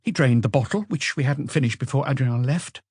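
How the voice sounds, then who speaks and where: A man voicing an anxious, guarded young woman in an audiobook, soft-spoken and hesitant as she answers police questions. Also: mid-range voice, fairly quick, breathy edge, no room sound, clean studio sound, voice pushed up, natural voice. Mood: earnest.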